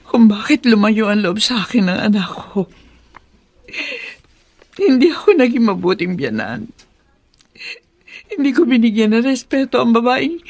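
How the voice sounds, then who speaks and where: A remorseful older mother-in-law in a Filipino radio drama. Her sorrowful voice is highish, very expressive in pitch and recorded dry. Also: dry recording.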